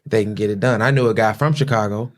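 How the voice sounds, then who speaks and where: A man hosting an interview, talking in a relaxed way with a lot of "like.". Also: fairly low pitch, fast. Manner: relaxed, filler-heavy with "like". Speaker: podcast host. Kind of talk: casual interview conversation.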